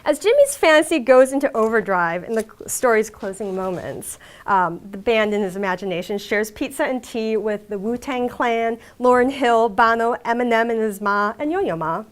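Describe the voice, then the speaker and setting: A woman delivering a university lecture on fiction and drama. Her voice sits fairly high and moves a great deal in pitch, rising to stress key phrases. She reads from a prepared text in a measured, emphatic way, with the odd "um" along the way. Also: expressive. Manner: measured, emphatic reading with occasional fillers. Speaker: academic lecturer. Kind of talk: reading a prepared academic lecture. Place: lecture hall.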